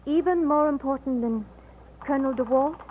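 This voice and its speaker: A woman's voice: high voice, moderate pace, natural voice. An actress playing an ardent, determined young woman in love, earnest and melodramatic, in an old-time radio romance. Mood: anxious, insistent. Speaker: radio drama actor. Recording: scratchy old radio, narrow muffled band.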